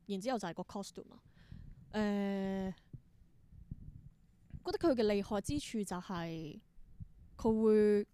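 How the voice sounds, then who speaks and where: A woman's voice: mid-range voice, slow, long pauses, dark tone, smooth. A woman with a Hong Kong Cantonese accent, speaking thoughtfully as an interview guest and mixing in English words. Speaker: interview guest, theatre performer and creator. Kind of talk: reflective interview conversation.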